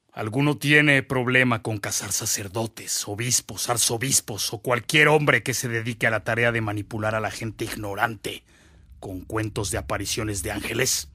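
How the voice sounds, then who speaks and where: A man's voice: lowish voice, pushed and bright, no echo, clean studio sound. A man acting a ruthless, menacing commander with a Mexican accent in a radio drama, barking orders with contempt.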